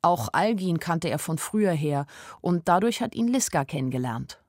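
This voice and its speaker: A woman's voice: low-pitched, expressive, moderate pace, darkish tone, dry recording, clean studio sound. An actress in a radio play voicing an observant young woman who tells her story in an intimate, matter-of-fact way. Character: observant, plain-spoken young woman narrating her life in 1930s Germany. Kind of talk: first-person radio play narration.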